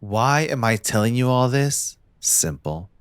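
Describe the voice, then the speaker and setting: A man playing a possessive, smug young mafia heir in a scripted audio roleplay, speaking in a theatrical, condescending, deliberate villain's drawl. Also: medium pitch, lively intonation, clear, resonant tone, no echo, studio quality, lightly acted. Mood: scheming, superior.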